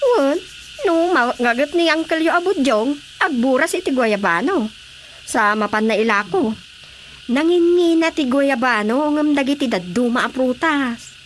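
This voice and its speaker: Very high-pitched, excitable country aunt. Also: female voice, a little slow, a little hiss or hum. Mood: chatty, explaining. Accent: Ilocano. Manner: animated, exclamatory. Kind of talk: Ilocano radio drama comedy acting.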